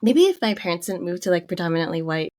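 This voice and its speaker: A woman's voice: fairly low pitch, animated, fast, darkish tone, dry recording, clean studio sound. A young woman from Connecticut co-hosting a book club podcast, chatting casually with lots of "like" fillers and easy laughter. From Connecticut, USA. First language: English is her third language. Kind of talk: casual chat.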